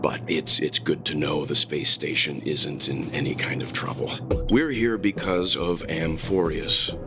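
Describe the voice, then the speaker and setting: An actor playing a courteous, composed and serious male space traveler in a video game, polite and measured. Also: extremely low pitch, fairly even intonation, music in the background.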